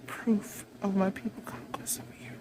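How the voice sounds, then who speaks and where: A woman playing a haughty, vengeful vampire queen in a roleplay monologue, imperious, taunting and deliberate in delivery. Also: mid-range voice, expressive, in a whisper, close to an everyday voice. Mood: taunting, commanding.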